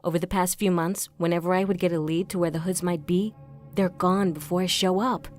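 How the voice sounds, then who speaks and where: A woman playing a determined, methodical vigilante investigator in an audio crime drama, explaining things in a measured way. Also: lowish voice, steady tone, lightly acted. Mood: measured, serious.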